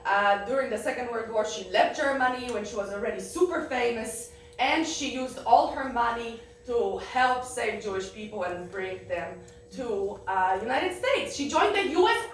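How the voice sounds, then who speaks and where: Polish young woman, higher voice, candid personal story, laughs a lot, echoey room heard from far away. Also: expressive, moderate pace, slight background noise. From Poland. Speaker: guest speaker. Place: event room.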